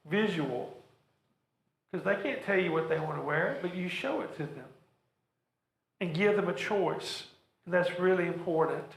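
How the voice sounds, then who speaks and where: A man's voice: sits high, measured pace, pauses often. A man presenting a church workshop to an audience, talking earnestly and stumbling over repeated words. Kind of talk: practical talk to a church audience. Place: church hall. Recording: roomy echoing hall.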